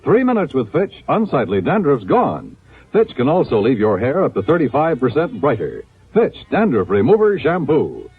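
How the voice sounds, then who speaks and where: A male 1950s radio announcer with a husky voice and hugely swooping pitch. He sells emphatically and smoothly through a tinny old recording. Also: huge pitch swings, normal speed. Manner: emphatic, polished sales delivery.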